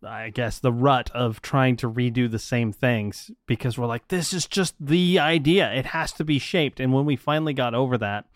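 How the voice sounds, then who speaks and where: A man's voice: mid-range voice, moderate pace, hardly any pauses, dry recording, studio quality. A man who coaches writers, talking earnestly in a casual chat and laughing between points. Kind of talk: casual conversation.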